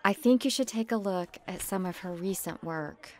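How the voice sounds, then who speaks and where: A woman's voice: mid-range voice, normal speed, darkish tone, lightly acted. An American woman playing a blunt, earnest elementary art teacher in an audio drama.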